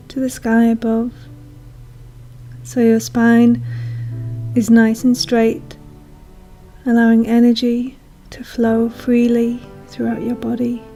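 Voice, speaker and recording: A woman leads a guided meditation in a soothing, hushed manner, leaving long pauses. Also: on the high side. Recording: soft music behind voice. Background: calm meditation music.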